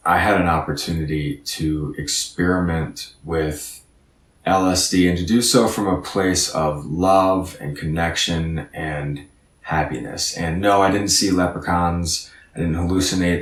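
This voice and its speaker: A man's voice: sits low, steady tone, a little slow, echoey room, distant mic. A man in his thirties giving an earnest, reflective motivational talk as a podcast guest, often repeating words as he thinks. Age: 30s.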